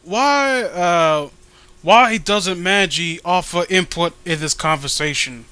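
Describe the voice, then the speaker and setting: A man's voice: sits high, slow pace, bright, forceful tone, smooth, dry recording. A man giving casual, rambling and profane commentary as he co-hosts a read-along. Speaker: co-host of a comic read-along video.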